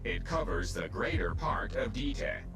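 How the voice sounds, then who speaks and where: A precise, deferential male AI from a video game, with a mid-pitched voice that moves in lively swings. The phrasing is formal and a touch pedantic. It sounds somewhat far from the mic, over clear music. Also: measured pace, full character voice. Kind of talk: scripted video game dialogue.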